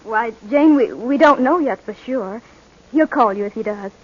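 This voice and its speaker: Young woman, polite and discreet, slightly husky; 1940s radio commercial, muffled sound. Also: a little high, expressive, normal speed. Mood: awkwardly tactful. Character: polite, tactful young woman in a soap advertisement. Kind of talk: 1940s radio advert acting. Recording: muffled old radio recording.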